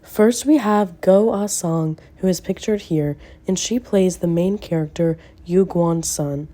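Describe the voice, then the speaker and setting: A young woman presents a scripted film review for a class. Her voice sits low and sounds soft and mellow. She slows down with care whenever she reaches a name. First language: English. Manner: careful, slowed delivery on names. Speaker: student presenter.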